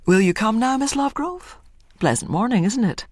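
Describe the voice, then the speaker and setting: A woman voicing a gentle, hearty dentist in an audio story, soothing and over-polite with repeated reassurances. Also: a little high, animated, normal speed, dry recording, clean studio sound, voice pushed up. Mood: pleasant, soothing. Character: gently hearty antiseptic dentist, solicitous, professionally cheerful.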